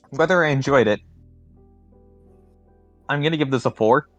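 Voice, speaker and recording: A man hosting a casual group livestream as a contest judge, talking in a jokey, sweary way with theatrical pauses. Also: medium pitch, a bit bright, muffled recording, soft background music. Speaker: host and judge of a fanfiction contest stream.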